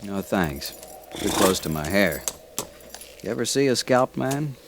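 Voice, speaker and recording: A man's voice: lowish voice, animated, a little slow, noisy background. A man playing a plain-spoken, feisty frontier sidekick in an old-time radio western, blunt and folksy. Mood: uneasy, wry. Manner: folksy, blunt, clipped questions.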